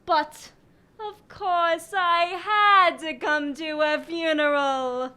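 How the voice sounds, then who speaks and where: A woman's voice: very high voice, steady tone, very slow, bright, forceful tone, full character voice. A woman playing a prim, judgmental village gossip in a radio drama, with a sanctimonious manner. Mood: sniffy, disapproving.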